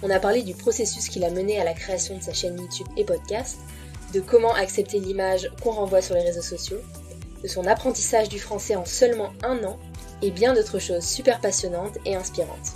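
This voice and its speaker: Calm woman hosting a podcast. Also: medium pitch, brisk. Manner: calm, hesitant with fillers. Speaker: podcast host. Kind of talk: podcast intro and interview. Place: Montreal. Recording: faint music behind.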